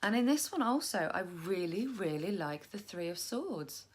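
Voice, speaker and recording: A young woman chatting casually to camera about her tarot decks, tired and croaky, rambling and poking fun at herself. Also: mid-range voice, sing-song, moderate pace. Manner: chatty, self-deprecating, rambling. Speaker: tarot vlogger.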